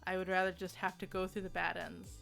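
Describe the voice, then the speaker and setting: A woman's voice: fairly low pitch, completely flat delivery, fast talker, creaky, a bit bright, faint music behind. A woman giving a casual, conversational video game review in a giggly, self-deprecating manner.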